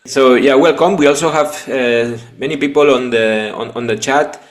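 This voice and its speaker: Man hosting a webinar, echoey room. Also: mid-range voice. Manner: halting, frequent restarts and tag "no?". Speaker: webinar host and business coach. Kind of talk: live webinar conversation. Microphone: video call.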